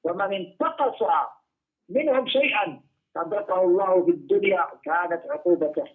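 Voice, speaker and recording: A man's voice: high voice, very fast. A man giving an emphatic religious lesson as a teacher, repeating phrases for stress as he reads and explains texts. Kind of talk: Islamic lesson, reading Arabic texts and explaining them in English. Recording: tinny phone-like radio-style lecture audio.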